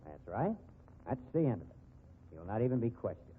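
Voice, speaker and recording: A man's voice: fairly low pitch, very animated, fairly quick. An actor playing a crooked, bullying private investigator in a 1950s radio drama, with slangy, sneering tough-guy talk. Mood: pressing, smug. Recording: tinny band-limited 1950s radio recording.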